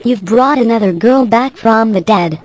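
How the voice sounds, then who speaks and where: A woman's voice: fairly high pitch, moderate pace, natural voice. An actress playing a wry, incredulous woman revived from the dead in a parody radio drama.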